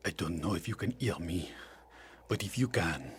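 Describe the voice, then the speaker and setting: An actor playing a remorseful, gentle boss in an audio drama, speaking hesitantly and apologetically. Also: male voice, sits low, expressive, normal speed, slight background noise. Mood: remorseful, awkward. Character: janitor's remorseful male boss, halting and gentle.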